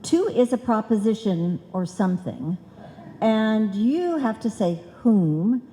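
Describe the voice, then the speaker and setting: Woman acting a scatterbrained schemer, slow and mellow, in a hall. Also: medium pitch, expressive. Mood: breezy, scheming. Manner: confident malapropisms, muddled logic. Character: scatterbrained, bossy married woman hatching a money-making scheme. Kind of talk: old-time radio comedy acting, performed live. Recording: live hall recording, long reverb.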